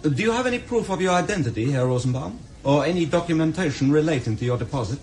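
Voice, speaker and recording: Courteous bank clerk, deferential, highish voice, bit of noise, radio drama. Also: male voice, animated, fast talker, a little mellow. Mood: apologetic. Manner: courteous, apologetic. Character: polite, deferential Swiss bank official.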